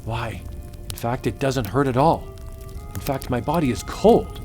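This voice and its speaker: A man voicing an excitable, determined explorer anthropologist in a melodramatic comic book audiobook. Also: mid-range voice, a little slow, soft background music, lightly acted.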